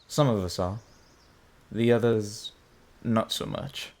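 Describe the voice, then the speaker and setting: A man's voice: fairly low pitch, fairly even intonation, takes it slowly, a little mellow. A man playing a formal, laconic soldier in an audio drama, with a dry, reserved way of talking.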